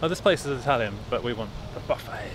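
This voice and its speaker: A man's voice: medium pitch, lively intonation, quick pace. A man vlogging in casual chat while walking outdoors along a busy street, recorded on a handheld camera. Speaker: travel vlogger. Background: loud street ambience and traffic.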